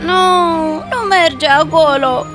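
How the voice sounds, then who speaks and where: A woman voicing a caring, expressive young village girl in a dubbed cartoon fairy tale. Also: very high voice, music in the background. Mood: upset.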